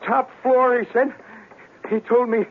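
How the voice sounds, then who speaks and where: An actor playing a fragile, paranoid young artist who swings between babyish pleading and terse muttering, in 1970s radio drama style. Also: male voice, very high-pitched, little pitch movement, a little slow. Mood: anxious, fleeing. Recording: tinny, lightly noisy old radio recording.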